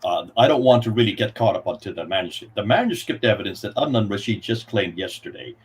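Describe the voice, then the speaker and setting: A man's voice: lowish voice, big pitch swings, quick pace, slight background noise. A man speaking on a live stream, making impassioned, emphatic arguments with rising appeals. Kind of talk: heated debate talk.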